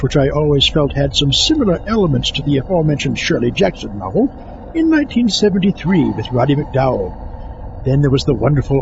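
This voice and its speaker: A man playing a fussy, high-voiced pompous film scholar. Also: lo-fi sound, a little hiss or hum. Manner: pompous, digressive lecturing. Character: fussy, pompous male horror film scholar, easily exasperated. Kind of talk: comic scripted film-history monologue.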